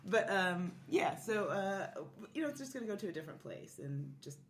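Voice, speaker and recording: Low-voiced woman chatting in an echoey room. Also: big pitch swings, normal speed. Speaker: podcast guest. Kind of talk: casual chat. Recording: roomy echo with noticeable background noise.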